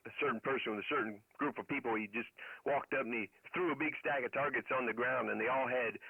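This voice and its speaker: A man's voice: medium pitch, quick pace, creaky, husky, dry recording. A middle-aged man telling stories casually over ham radio, in a rambling way with military jargon. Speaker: amateur radio operator. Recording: narrow phone-like radio sound.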